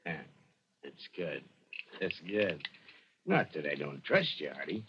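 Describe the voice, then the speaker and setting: An actor playing a brash, mocking middle-aged American racketeer in 1940s radio style, talking tough and slangy. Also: male voice, very deep voice, very animated, measured pace, full character voice. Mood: jovial, expansive. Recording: dull, noisy old radio recording.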